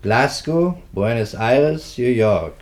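A man's voice: medium pitch, animated, slow, heavy background noise. A man acting in a German radio play as a dogged, sardonic police investigator with an emphatic, biting delivery.

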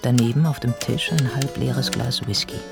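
Male narrator, scripted radio play. Deliberate, measured delivery, mid-range voice over a prominent music bed. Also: mid-range voice, steady tone, creaky.

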